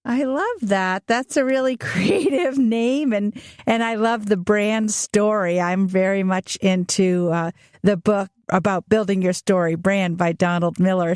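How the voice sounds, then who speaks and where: A woman's voice: medium pitch, steady tone, a little slow, few pauses, darkish tone, no room sound, studio quality. Upbeat woman hosting a podcast. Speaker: podcast host. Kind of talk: podcast intro and interview questions.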